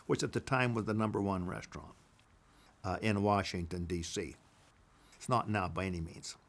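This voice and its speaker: An older man recounting his life in a casual oral memoir, deliberate and repeating phrases for emphasis. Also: lowish voice, vocal fry, fairly bright tone.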